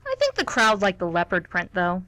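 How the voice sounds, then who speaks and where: An actress plays an unimpressed woman in a radio comedy. Her voice is crisp and forward, close and dry, as she teases with a deadpan edge. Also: fairly low pitch, a little fast, no echo. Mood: dry, teasing. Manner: deadpan, needling. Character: dry, teasing, unimpressed female friend at bar. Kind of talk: live radio comedy play.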